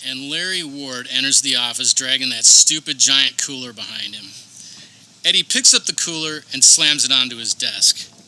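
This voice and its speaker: A man's voice: mid-range voice, normal speed, bright, forceful tone, rough recording. A man reading scripted narration for a comedic radio play.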